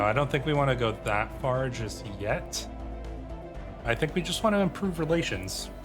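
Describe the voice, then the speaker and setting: A man doing roleplay game commentary, reading on-screen text and musing aloud to himself as he plays. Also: medium pitch. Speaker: gaming video host. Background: game music.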